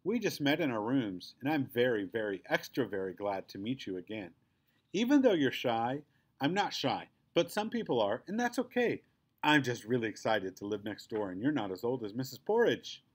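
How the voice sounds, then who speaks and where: A man voicing an excitable, chatty, friendly young girl in a storybook, gushing and emphatic. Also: mid-range voice, moderate pace, husky. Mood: eager, friendly.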